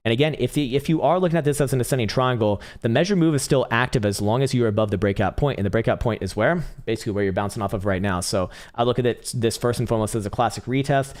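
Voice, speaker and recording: A man's voice: medium pitch, a little mellow. A young man hosting a live crypto chart stream, talking casually and profanely, laughing often and starting to lose his voice. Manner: rapid, profane, laughs often, restarts words. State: losing his voice. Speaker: livestream host, crypto chart analyst.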